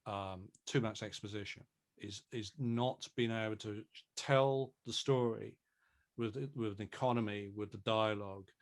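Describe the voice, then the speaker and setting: Lowish-voiced man explaining deliberately. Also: fairly even intonation. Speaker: audio drama producer, interview guest. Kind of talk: casual expert explanation in an interview.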